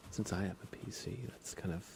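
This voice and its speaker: A man streaming ASMR in a soft, breathy whisper, chatting casually and gently while he plays a game. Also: low voice, fairly even intonation, a little fast, slight background noise. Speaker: livestream host. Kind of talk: ASMR livestream, casual chat while gaming.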